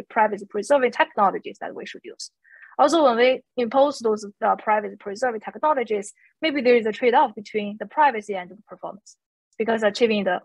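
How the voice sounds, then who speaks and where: A woman panelist in an academic discussion, speaking haltingly with non-native English phrasing. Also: medium pitch, vocal fry, slightly rough, no room sound, poor audio.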